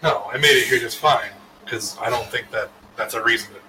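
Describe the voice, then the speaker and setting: A man's voice: medium pitch, a little fast. A man in his thirties co-hosting a podcast, telling a rambling, laid-back story. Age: 30s. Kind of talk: casual storytelling. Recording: echoey room with loud background noise.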